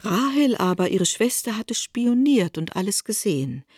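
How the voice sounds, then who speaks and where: A woman narrating a literary radio drama in a calm, measured manner. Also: medium pitch, breathy edge, no echo, clean studio sound.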